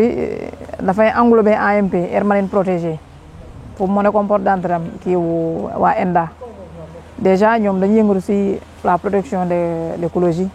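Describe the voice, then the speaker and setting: Senegalese woman interviewee, flat and gravelly, dark tone, faint noise behind. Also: fairly low pitch. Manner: mixes Wolof with French terms. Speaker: local workshop participant. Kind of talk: spoken testimonial in interview.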